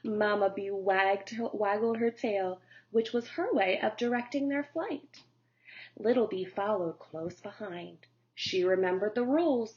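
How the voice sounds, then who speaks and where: Teacher lady reading a kids' book, real slow, kinda high, muffled audio. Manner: slow, gentle storytelling. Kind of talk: children's read-aloud. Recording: dull lo-fi recording.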